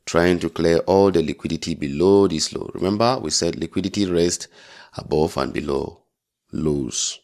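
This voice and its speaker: Deep-voiced man teaching trading, gravelly edge. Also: normal speed, a little mellow. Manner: emphatic, repeats phrases for stress. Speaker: trading instructor. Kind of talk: informal tutorial explaining a chart.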